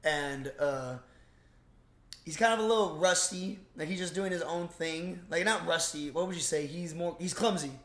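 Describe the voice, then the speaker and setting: Young male podcast co-host, slightly high voice, loose slangy rambling chat, roomy echo. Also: normal speed, fairly bright tone. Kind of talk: casual movie chat.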